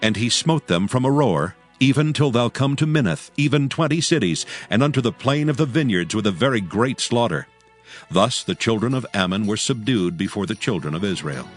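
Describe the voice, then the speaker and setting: A male narrator with a fairly low voice reads scripture in archaic English. The delivery is solemn and measured, and faint music plays behind it. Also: lively intonation.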